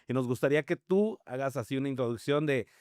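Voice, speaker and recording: A man's voice: mid-range voice, quick pace, darkish tone, clear, resonant tone, dry recording. A man hosts a podcast, opening the show and introducing his guest. He has a mid-range voice recorded with a dry, close sound. His manner is easygoing and joking. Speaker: podcast host. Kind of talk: friendly interview hosting.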